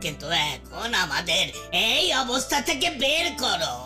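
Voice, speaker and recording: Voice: mid-range voice, sing-song, measured pace. An actor voicing a flustered, panicky crow leader in a cartoon, pleading, repeating words and cawing between phrases. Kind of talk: cartoon voice acting. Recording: children's cartoon music behind.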